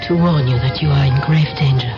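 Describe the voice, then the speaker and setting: A mysterious temptress with a French accent and a coy, formal, deliberate manner. It is old radio mystery acting, with loud music behind her and dull, aged sound. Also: rumbling low. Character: mysterious, alluring woman with a put-on French manner.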